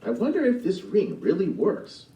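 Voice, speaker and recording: A man's voice: high-pitched, wildly swooping pitch, normal speed, dark tone, far from the mic, voice pushed up, exaggerated voice. A man voicing a cheerful, wide-eyed young man in a storybook play, recorded in a roomy space away from the mic. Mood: eager. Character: eager naive young man hero.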